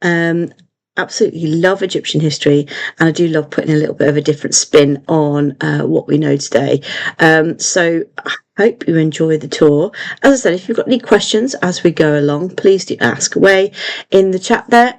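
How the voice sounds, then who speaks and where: Female host, low and husky-throaty, casual live-lesson delivery. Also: brisk, a little mellow. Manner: chatty, with fillers and self-corrections. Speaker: online history tour host. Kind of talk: live-streamed history lesson.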